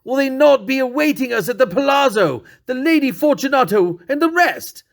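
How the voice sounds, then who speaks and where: A man's voice: extremely high pitch, expressive, normal speed, vocal fry, pitched above the performer's own voice, full character voice. A man voicing a doomed, chained nobleman in a gothic story, pleading with nervous, forced laughter. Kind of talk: dramatic gothic story reading.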